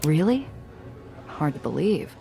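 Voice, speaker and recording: Streetwise lady lead in a story game, deep for a woman, dry and wry, faint music behind. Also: sing-song, unhurried, vocal fry, mellow, heavily acted. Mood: curious, dry. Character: wry, streetwise woman protagonist who narrates her own story. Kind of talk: video game narration and dialogue.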